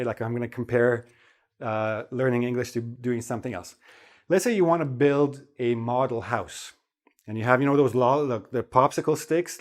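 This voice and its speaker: A man giving a spoken English lesson in a relaxed, chatty way, often checking in with the listener. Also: medium pitch. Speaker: English teacher.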